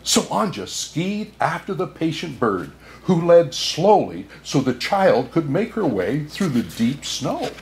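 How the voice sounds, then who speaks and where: A man playing a jolly, kindly old Santa Claus, excitable and chuckling, reading a children's storybook aloud with "oh my" exclamations. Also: fairly high pitch, unhurried, few pauses, a little hiss or hum, pitched above the performer's own voice. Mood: gently narrating. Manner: hearty chuckles and frequent "oh my" exclamations. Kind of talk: children's storybook read-aloud with asides to listeners.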